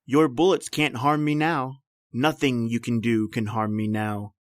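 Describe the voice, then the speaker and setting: A man's voice: mid-range voice, flat delivery, measured pace, very noisy, close to an everyday voice. Man acting ominous, accusing avenger. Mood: accusing, ghostly. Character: accusing man posing as his dead invalid brother. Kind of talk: old-time radio mystery acting.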